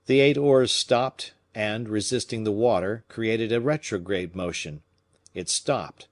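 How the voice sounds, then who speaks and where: A man narrating a classic novel as an audiobook reader, measured and expressive. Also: lowish voice.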